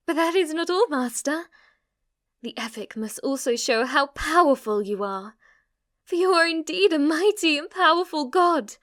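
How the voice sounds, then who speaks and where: A woman's voice: sits high, expressive, normal speed, fairly bright tone, no room sound, clean studio sound, voice pushed up. A woman playing an obsessively devoted, submissive maid in an ASMR roleplay, speaking formally, reverently and flirtatiously to her master. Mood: eager, adoring.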